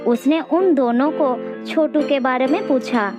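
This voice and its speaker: A woman's voice: sits high, unhurried. A woman reading a scripted children's story as narrator. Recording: dull lo-fi audio under loud music.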